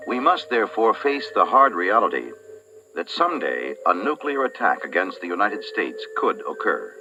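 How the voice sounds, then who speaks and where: A man's voice: lowish voice, big pitch swings, normal speed. A man giving solemn, oratorical scripted narration for a civil defense film. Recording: muffled old film soundtrack, distant. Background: music.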